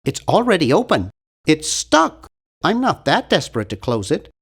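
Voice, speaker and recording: A man's voice: on the high side, wildly swooping pitch, normal speed, vocal fry, fairly bright tone, slightly rough, full character voice. A man playing an earnest, awkward, nerdy young cartoon hero in an adventure game, with a nasal, geeky, carefully worded delivery. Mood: matter-of-fact. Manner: geeky, over-articulate, earnest. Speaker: video game voice actor. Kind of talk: animated adventure game character lines.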